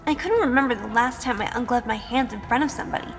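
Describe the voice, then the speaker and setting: Actress as nervous sheltered girl in audio drama, highish voice, hesitant, reverby, music behind. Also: fast talker, vocal fry. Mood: uneasy, reflective. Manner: hesitant, anxious. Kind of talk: audio drama acting and first-person narration.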